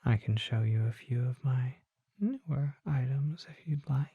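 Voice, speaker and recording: A performer voicing a courteous, curious old vampire shopkeeper in a breathy, intimate ASMR roleplay, mostly in whispers, with genteel phrasing. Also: mid-range voice, no echo, narrow, tinny audio. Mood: coaxing, curious. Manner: slow, genteel, formal phrasing.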